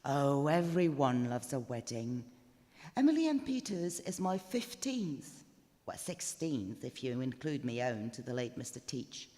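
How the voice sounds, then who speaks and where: A man's voice: medium pitch, big pitch swings, unhurried, dark tone. A man voicing a chatty, grumbling elderly widow in a ghost story, with a rambling, gossipy, colloquial way of talking. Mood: chatty, wry. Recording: live reading in echoing chapel.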